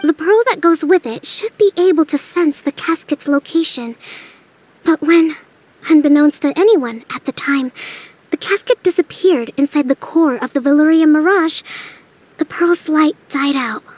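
A woman playing a calm, learned young female leader in a video game, speaking in a measured, gentle way. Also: sits high, close to an everyday voice, poor audio. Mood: calm, explanatory.

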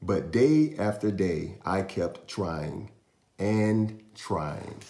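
A man in his thirties reading a picture book aloud to young children, with deliberate, dramatic storyteller pauses. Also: sits low, lively intonation, mellow. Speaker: children's storytime host and reader. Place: small indoor room in a house. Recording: roomy echo, faint music.

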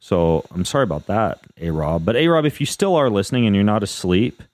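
A man hosting a podcast in casual chat, rambling through lists with a fairly even, monotone delivery. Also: on the low side, brisk, no echo. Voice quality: self-described monotone. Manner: rambling, lists things off, frequent fillers and restarts. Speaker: podcast host.

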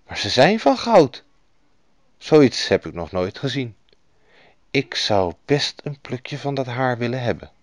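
A man's voice: lowish voice, animated, unhurried, hardly any pauses, dry recording, clean studio sound. A man voicing a greedy, nosy young goose boy who talks eagerly and grumbles. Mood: amazed eager.

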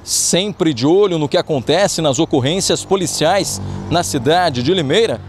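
A young male reporter delivers a news report to camera. His voice sits a little high, and he speaks outdoors into a handheld microphone. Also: animated, a little slow, slight background noise. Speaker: field reporter. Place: outdoors in front of a building on a town street.

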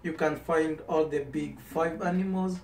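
A man's voice: fairly high pitch, steady tone, unhurried. A man in his twenties with a Kenyan accent, talking casually as an interview guest. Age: 20s. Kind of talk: casual chat. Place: small indoor room. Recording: roomy, distant mic, music underneath.

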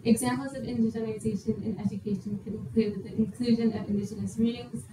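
A woman's voice: fairly high pitch, steady tone, quick pace. A teenage girl from Ontario with a Canadian accent, co-hosting a podcast discussion. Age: teens. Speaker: podcast co-host. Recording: very echoey room, distant mic, faint noise.

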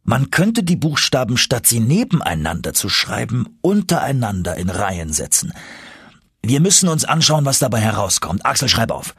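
A man voicing an excitable older professor uncle in a German audiobook, emphatic and commanding. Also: medium pitch, vocal fry, slightly rough, dry recording, clean studio sound, voice pushed up, full character voice. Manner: emphatic, triumphant exclamations and brisk orders.

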